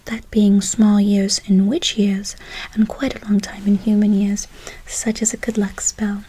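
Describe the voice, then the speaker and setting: A woman playing a kindly, mysterious forest witch in a slow, breathy whisper, for an ASMR role play with soothing repeated words and quaint phrasing. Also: mid-range voice, little pitch movement, warm tone, slight background noise, close to an everyday voice, rough recording. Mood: soothing, gently boastful. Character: kindly, mysterious female forest witch casting good-luck spells.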